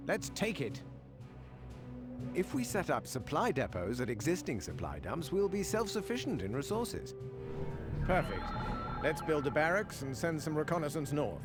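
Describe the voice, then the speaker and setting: A man playing a brisk, cautious military adviser giving mission briefings in a video game. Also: on the high side, sing-song. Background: loud music.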